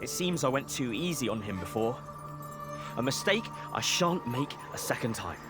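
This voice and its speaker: A man's voice: mid-range voice, sing-song, normal speed, natural voice. A man playing a boastful but good-natured wandering hero in a video game cutscene, grandiose and self-assured. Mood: proud, boastful. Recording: background music under the voice.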